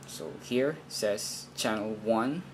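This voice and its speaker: A man's voice: lowish voice, takes it slowly. A man giving an unscripted, hands-on technical demonstration, thinking aloud with hesitant phrasing. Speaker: radio programming demonstrator. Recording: noisy amateur recording, steady background noise.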